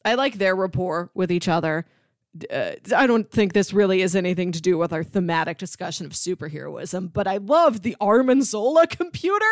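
A woman's voice: fairly low pitch, expressive, brisk, no room sound, studio quality. A woman co-hosting a podcast, talking casually about films and sometimes chuckling through her words. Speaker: podcast co-host debating films. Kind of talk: casual film discussion.

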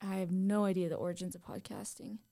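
Young woman, average pitch, playful, slangy, informal, casual podcast banter. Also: little pitch movement, fast, vocal fry, warm tone. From Southern California, USA. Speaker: podcast co-host.

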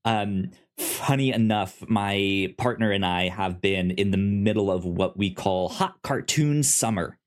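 A podcast co-host chatting casually about a show, often repeating and stuttering on the first sounds of words. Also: low voice. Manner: halting, repeats and stutters on word starts. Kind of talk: casual podcast review chat.

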